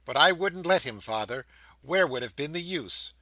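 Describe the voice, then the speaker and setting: A fond young bride-to-be, teasing her sweetheart, as a male narrator voices her. The tone is bright with lively turns of pitch. Close and dry, like a book read aloud. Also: moderate pace, dry recording.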